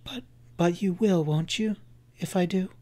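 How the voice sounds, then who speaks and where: A man's voice: sits high, measured pace, pitched above the performer's own voice. A man reading the part of a feverish young woman in labor, talking faintly and pleadingly. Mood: fervent, pleading. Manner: soft, pleading, breathy and faint.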